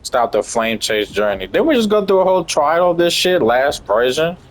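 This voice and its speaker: A man's voice: on the high side, very animated, moderate pace. A man giving casual gameplay commentary in a blunt, slangy, sweary style. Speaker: gamer reacting to game story. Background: faint game music.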